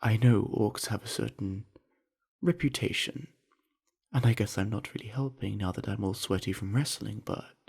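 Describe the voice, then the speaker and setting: Friendly orc student athlete, male voice actor, ASMR roleplay: husky, creaky, slow, sincere and chatty. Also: fairly low pitch, darkish tone, exaggerated voice. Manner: easygoing, chatty, earnest, with musing pauses. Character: friendly, earnest, sweaty young male orc university jock. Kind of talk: scripted ASMR roleplay addressed to the listener.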